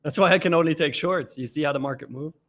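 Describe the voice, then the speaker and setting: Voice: mid-range voice, fast talker, fairly bright tone. A co-host on a live trading stream, chatting casually, teasing the others and laughing often. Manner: teasing and jokey, laughs a lot. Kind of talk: casual chat.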